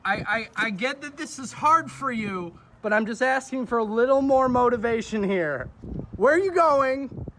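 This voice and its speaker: Young man acting as demanding student director, extremely high voice, intense, noisy recording, mockumentary. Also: animated, moderate pace, fairly bright tone, heavily acted. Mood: exasperated. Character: intense, demanding student audio director scolding his performers. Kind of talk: acted mockumentary. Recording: background noise.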